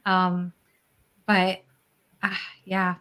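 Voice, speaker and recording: A woman's voice: lowish voice, monotone, extremely slow, pushed and bright, clean tone. A woman speaking casually as a panelist in a book discussion.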